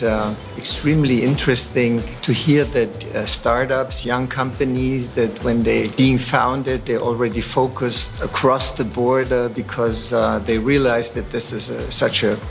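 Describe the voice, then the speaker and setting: A man's voice: medium pitch, fairly even intonation, measured pace, gravelly, faint music behind. A man from Switzerland, a private investor, giving thoughtful, hesitant interview remarks.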